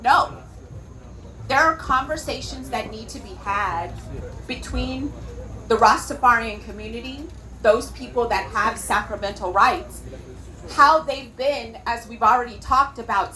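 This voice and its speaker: A woman from California with an American accent, speaking emphatically and deliberately as a panellist at a public event. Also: on the high side, bright, forceful tone, poor audio. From California, United States.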